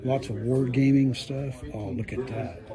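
A man's voice: mid-range voice, fairly even intonation, measured pace. A man giving a casual, rambling, appreciative walk-through commentary as a vlog host. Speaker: host filming a shop tour. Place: inside a busy hobby shop. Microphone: handheld phone. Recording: busy shop chatter behind.

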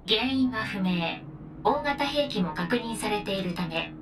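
An actress voicing an emotionless robotic support pod in a video game, giving clipped formal reports. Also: female voice, medium pitch, expressive, measured pace, noisy background. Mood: impassive, businesslike. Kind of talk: video game mission briefing.

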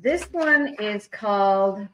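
Woman haltingly reading entries on a call. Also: mid-range voice, animated, dull audio, a little hiss or hum. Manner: halting, stumbles over unfamiliar names. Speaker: public arts commissioner. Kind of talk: reading artwork entries aloud in a meeting. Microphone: video call.

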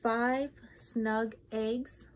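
A woman's voice: on the high side, level tone, drawn-out words, a little hiss or hum. A young adult woman, a children's librarian, hosting and reading aloud a storytime for children.

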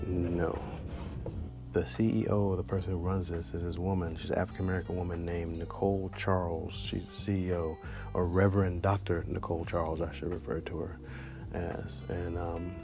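A man's voice: low-pitched, little pitch movement, moderate pace. A man in his forties, a travel vlogger, musing aloud with fillers while browsing a large library. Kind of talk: casual vlog commentary. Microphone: handheld or chest-mounted action camera. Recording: clear background music under voice. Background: background music.

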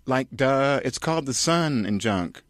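Man doing a whiny, high-pitched young bride. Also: expressive, measured pace, fairly bright tone, no echo, studio quality. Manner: ditzy, filler-laden slang. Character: whiny, dim young bride, valley-girl talk.

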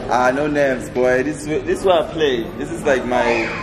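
Young male guest, middle pitch, cocky easy banter, roomy space and a crowd. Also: normal speed. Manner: confident, playful, mixing slang. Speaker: guest rapper. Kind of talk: casual chat. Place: sports store, indoors.

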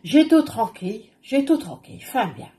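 A brash, gossipy northern French housewife, played by a woman, with a thick Ch'ti accent and a warm, mellow tone. She talks loud and rough in broad patois, straight into a webcam in a small room. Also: high voice, animated, moderate pace.

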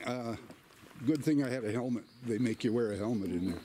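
A man's voice: mid-range voice, brisk, noisy background. A man on a guided tour, chatting casually with the guide and adding laughing asides. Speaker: tourist. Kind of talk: casual chat.

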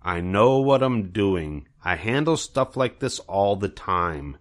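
A man voicing a sly, surly male rat with a sharp, snarling manner in a storybook. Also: deep voice, big pitch swings, takes it slowly, full character voice.

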